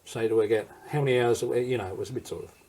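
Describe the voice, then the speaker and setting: A man's voice: mid-range voice, steady tone, quick pace, mellow. An older man with an Australian accent telling war memories in an interview, in a rambling, anecdotal way that wanders off and circles back. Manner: rambling anecdotal, digresses and restarts. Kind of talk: recorded interview storytelling.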